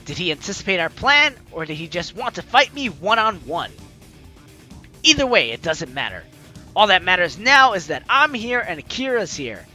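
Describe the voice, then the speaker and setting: A woman hosting a let's play, chatty and excitable, reading game narration aloud with drama and commenting as she goes. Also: mid-range voice. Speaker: gaming video host. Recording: game music behind the voice. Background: game music.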